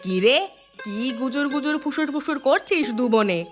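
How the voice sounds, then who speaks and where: A woman's voice: high-pitched, expressive, moderate pace, music in the background. Woman voicing a grumbling old village mother-in-law. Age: older. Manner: grumbling, scolding. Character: nagging, scheming older village mother-in-law with rheumatism. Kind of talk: Bengali moral-tale cartoon dubbing.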